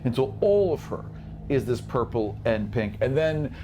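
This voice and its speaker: A man running a tabletop game as game master, improvising casual, animated narration with spoken sound effects. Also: medium pitch, a little slow, over music.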